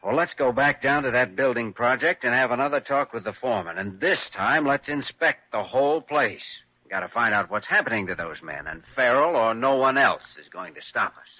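An actor playing an earnest, determined young hospital doctor in an old-time radio drama. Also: male voice, mid-range voice, a little fast, few pauses, no room sound, lightly acted. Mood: firm, determined. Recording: dull vintage radio recording.

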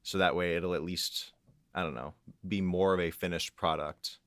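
Man, very deep voice, dry close sound, informal interview guest, loosely explanatory. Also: steady tone, normal speed, a bit bright, no room sound. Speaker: guest, audio engineer and composer. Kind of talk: casual behind-the-scenes interview chat.

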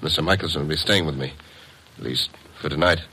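An actor playing a placating art agent, quietly humoring, in a vintage radio drama. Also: male voice, very deep voice, fast talker. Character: low-voiced, placating male art agent. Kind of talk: 1950s radio drama acting. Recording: very noisy vintage radio recording.

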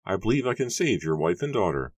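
A man voicing a greedy, corrupt schemer in an audiobook, speaking slowly and softly with calculated menace. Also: deep voice, very animated, no room sound, pitched above the performer's own voice. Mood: calm, insinuating. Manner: slow, soft, calculating. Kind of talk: dramatic audiobook character voice.

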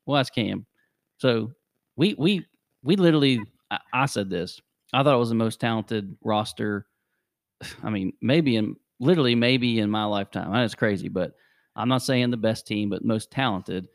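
A man's voice: fairly low pitch, normal speed, no room sound, studio quality. A man in his forties hosting a casual sports chat, rambling and hesitant, with lots of fillers. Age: 40s. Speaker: podcast host.

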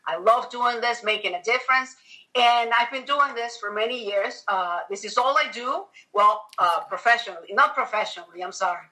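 Female guest, middle-aged, Venezuelan accent, native Spanish speaker, bright higher voice, chatty and emphatic. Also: moderate pace, low-quality recording. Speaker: podcast guest. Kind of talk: conversational personal storytelling in an interview.

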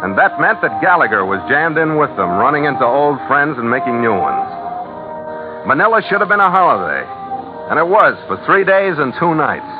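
A man's voice: fairly high pitch, very animated, moderate pace. An American actor playing a wry, hard-boiled sea captain who narrates his log in a clipped 1940s radio style. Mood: wry, storytelling. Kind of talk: 1940s radio drama acting, scripted narration. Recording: phone-like old radio recording, loud music behind.

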